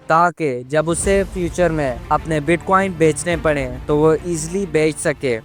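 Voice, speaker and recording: Higher-pitched male documentary narrator. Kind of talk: scripted explainer narration.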